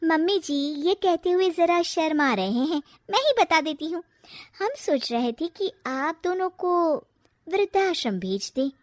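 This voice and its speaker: A woman's voice: very high voice, expressive, unhurried, no echo, clean studio sound, voice pushed up. A woman voicing a cheeky, scheming young daughter-in-law in a cartoon moral story, pert and theatrical. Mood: sly.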